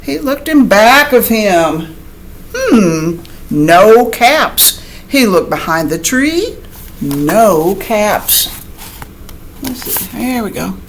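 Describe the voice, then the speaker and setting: A woman reads to young children in the manner of a teacher. Her pitch swings widely and her pace is slow, with expressive phrasing and chatty asides straight to the listeners. There is noticeable background noise in the recording. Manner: expressive, addresses young listeners directly with questions and explanations. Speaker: teacher reading a picture book to young children. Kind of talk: animated children's read-aloud with chatty asides.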